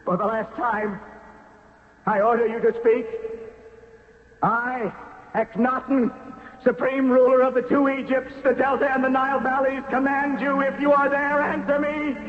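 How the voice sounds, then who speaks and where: Anguished young pharaoh in cavernous reverb. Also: male voice, very high-pitched, level tone, normal speed. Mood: furious demand. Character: anguished, proud young pharaoh losing his faith. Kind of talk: 1970s radio drama acting, declamatory. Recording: cavernous reverb, dull noisy vintage radio recording.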